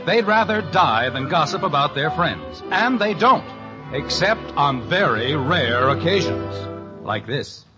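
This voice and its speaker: A man's voice: a little high, sing-song, a little slow, highs cut off. A man announcing a 1950s radio show from a script, with a folksy, playful delivery. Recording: old radio broadcast over loud music.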